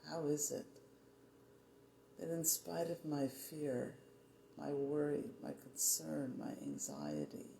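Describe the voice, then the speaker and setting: A meditation guide speaking soothingly in short, measured phrases with long pauses, offering spiritual affirmations. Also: medium pitch, sing-song, gravelly, warm tone. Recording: roomy echo, faint background noise.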